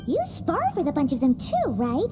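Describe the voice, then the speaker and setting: Chatty little fairy girl, super high n squeaky, bouncy range, cartoony game voice, loud music. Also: wildly swooping pitch, normal speed, in a large hall. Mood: perky, smug. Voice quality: squeaky, childlike. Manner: perky, talks of herself in third person. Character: tiny, cheeky, chatty childlike female fairy companion. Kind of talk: scripted video game cartoon acting.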